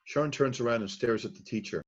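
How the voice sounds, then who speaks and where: A man narrating the stage directions at a screenplay read-through in a plain, steady reading voice. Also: medium pitch, fairly quick, husky, muffled recording. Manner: plain, steady reading of scene headings and action.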